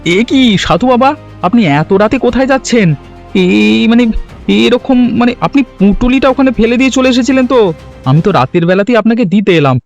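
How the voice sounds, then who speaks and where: Man acting excitable village helper, very high swooping voice, halting exclamations, cartoon dialogue, music behind. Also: vocal fry, full character voice. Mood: puzzled, suspicious.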